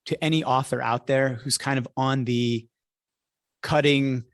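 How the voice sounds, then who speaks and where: Male podcast host, mid-pitched and conversational, a practised speaker, recorded dry with little room sound. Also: steady tone, a little slow, no echo.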